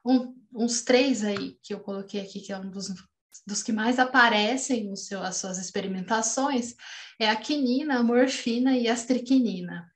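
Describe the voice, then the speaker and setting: A woman's voice: on the high side, normal speed. A woman in her thirties with a Brazilian accent giving an online academic lecture over a video call, talking with frequent restarts and fillers. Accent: Brazilian Portuguese. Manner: hesitant, many restarts and fillers. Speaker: postdoctoral researcher lecturing. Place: home room, seen on webcam. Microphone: video call webcam.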